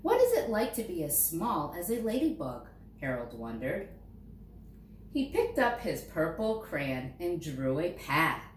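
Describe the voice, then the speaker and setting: In a roomy, echoing space, a woman a little away from the mic reads a children's storybook aloud. Her pitch moves in lively swings as she stresses key words, and she takes her time over each line. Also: unhurried. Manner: expressive, dramatizing key words for young listeners. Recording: roomy echo, distant mic, faint noise.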